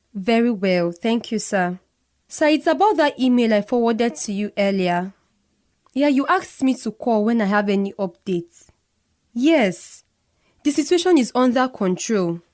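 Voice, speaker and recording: An actress with a Nigerian accent playing a brisk company boss in a radio drama. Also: female voice, fairly high pitch, animated, darkish tone. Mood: deferential. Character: brisk female company boss, deferential to superiors.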